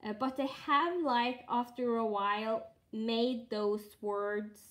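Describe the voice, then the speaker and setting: A woman with a Norwegian accent, a native Norwegian speaker, chatting casually while stitching live and thinking aloud with frequent pauses and fillers. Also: on the high side. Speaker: cross-stitch streamer.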